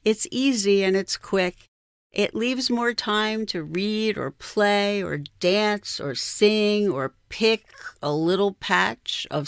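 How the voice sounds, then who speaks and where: A woman reading her own poem in a measured recitation. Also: medium pitch, dry recording, studio quality.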